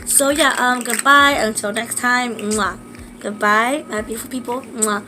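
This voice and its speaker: A woman with a sore throat reads a book aloud to her viewers, pausing and correcting herself as she goes. Her voice sits a little high. Steady background noise runs under a home recording. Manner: reads slowly with halting restarts and asides. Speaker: vlogger reading a book aloud. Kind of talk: casual book reading vlog.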